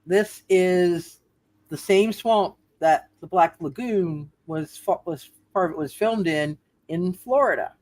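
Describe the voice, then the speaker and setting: A man's voice: high-pitched, slow, darkish tone. A man narrating a live tabletop roleplaying game as game master, casually rambling and repeating his phrases.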